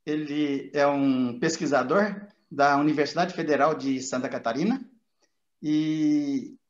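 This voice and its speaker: Male Brazilian interviewer, high-pitched, casual conversational host delivery, dull lo-fi sound. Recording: roomy, dull lo-fi audio.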